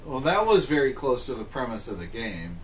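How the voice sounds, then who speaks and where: A man's voice: medium pitch, big pitch swings, fairly quick. A man hosting a podcast, moderating a casual chat among friends and moving the discussion along. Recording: echoey room with background noise.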